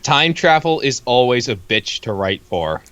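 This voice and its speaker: A man's voice: mid-range voice, very animated, a little slow, bright, forceful tone, smooth. A man from Canada co-hosting a comic read-along, chatting casually in a giggly, profane, joking way.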